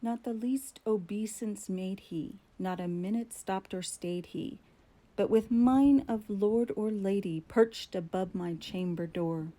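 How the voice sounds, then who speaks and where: A woman giving a dramatic, deliberate recitation of gothic poetry, with drawn-out phrasing and strong emphasis. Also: medium pitch, mellow. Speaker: poetry reader.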